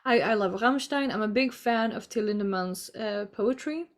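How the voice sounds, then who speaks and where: A Swedish woman whose first language is Swedish, a singer chatting in an interview, animated and quick to laugh. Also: a little high. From Sweden. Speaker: band singer and lyricist. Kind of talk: casual interview chat.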